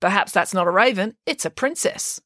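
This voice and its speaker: A woman's voice: mid-range voice, sing-song, a little fast, bright, forceful tone, no room sound. A woman voicing a skeptical, unimpressed schoolgirl daughter in an audiobook, with a dry, dismissive manner. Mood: questioning. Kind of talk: audiobook character dialogue.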